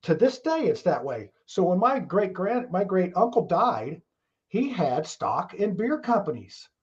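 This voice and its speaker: A man's voice: sits high, big pitch swings, measured pace, breathy edge, highs cut off. A man hosting a casual talk show, rambling along in a folksy way with frequent chuckles.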